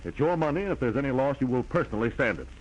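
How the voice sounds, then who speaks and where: A man's voice: medium pitch, very animated, racing, highs cut off. An actor playing a stern, domineering businessman, curt and formal, in a 1940s radio drama on a noisy old recording. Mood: stern, businesslike. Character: stern, domineering businessman brother scolding his sibling.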